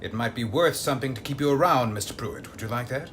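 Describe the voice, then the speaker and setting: A man playing a composed, secretive gentleman investigator with a formal manner in an audio drama. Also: medium pitch, fast, slight background noise, lightly acted. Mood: approving, formal.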